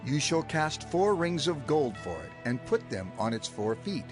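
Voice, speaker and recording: An actor playing a solemn, fervent male prophet in a dramatized scripture reading, declaiming deliberately to his people. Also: medium pitch, sing-song. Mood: solemn, instructing. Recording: music bed behind the voice.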